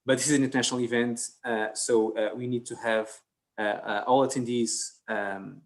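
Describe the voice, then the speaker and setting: A man moderates an online conference panel, his pitch sitting in an ordinary middle range. He talks in a halting way, full of fillers and restarts as he works toward each point. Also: steady tone.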